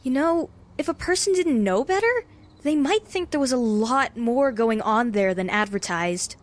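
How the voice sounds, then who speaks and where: A woman's voice: fairly high pitch, animated, normal speed, close to an everyday voice. A woman playing a brave, earnest young lab intern in a comic-book audio drama, talking in a conversational, coaxing way. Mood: worried, probing.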